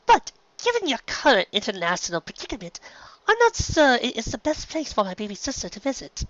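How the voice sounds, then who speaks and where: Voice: mid-range voice, wildly swooping pitch, a little fast, fairly bright tone, no room sound, lo-fi sound, pitched above the performer's own voice. A narrator voicing a refined young lady unicorn from a storybook, a polite, anxious elder sister who speaks delicately and tactfully. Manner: delicate, tactful, careful phrasing.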